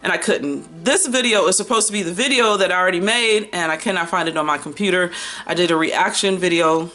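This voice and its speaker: Bright-voiced American woman, casual and expressive. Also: fairly low pitch, fairly quick, soft background music. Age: early 50s. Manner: expressive, slangy, playful, rambling. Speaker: book vlogger. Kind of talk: casual chatty book haul and review.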